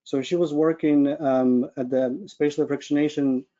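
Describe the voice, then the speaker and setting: Higher-voiced Ukrainian man, muffled call audio. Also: steady tone, moderate pace, mellow. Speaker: webinar speaker, medical physicist. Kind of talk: informal personal career presentation. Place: online video call with screen share.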